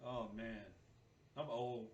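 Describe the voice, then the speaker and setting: A man's voice: mid-range voice, fairly even intonation, takes it slowly, darkish tone, dull audio. A man in his thirties chatting informally as a sports podcast host, with slangy, bantering delivery. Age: 30s. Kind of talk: casual sports podcast chat.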